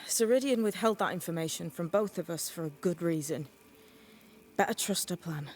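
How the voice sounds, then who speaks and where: Woman acting a wry, low-voiced witch's novice. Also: moderate pace, a little hiss or hum, close to an everyday voice. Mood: calm, knowing. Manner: wry, teasing, deadpan. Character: blunt, sly young witch's novice, female, breezily teasing. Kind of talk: video game cutscene acting.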